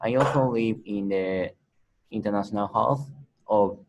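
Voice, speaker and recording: A young man university student introducing himself briskly. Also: lowish voice, darkish tone, poor audio. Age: young adult. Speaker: undergraduate student guest. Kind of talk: self-introduction.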